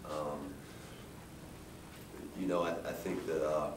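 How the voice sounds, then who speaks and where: An American man speaking as a coach at a post-game press conference, in a halting, measured way with frequent restarts. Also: level tone. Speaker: head basketball coach. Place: indoor press room at a table. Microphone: distant camera. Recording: roomy, distant mic with faint rumbling noise.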